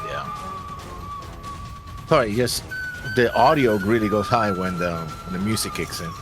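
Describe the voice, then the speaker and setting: A man's voice: on the low side, very animated, a little slow. A man chatting casually as co-host of a reaction to a show. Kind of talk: casual chat. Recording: music playing behind the voice. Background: show soundtrack music.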